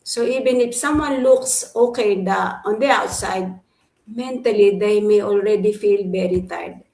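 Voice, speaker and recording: A woman from the Philippines answering questions on a live online panel, earnest and steady, listing her points one after another. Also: medium pitch, a little slow, reverberant, low-quality recording. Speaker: guest panelist.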